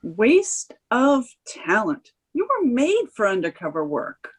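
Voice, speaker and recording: A woman's voice: on the high side, wildly swooping pitch, takes it slowly, full character voice. An actress playing a bossy, teasing woman in her fifties at a screenplay table read. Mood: wry, emphatic.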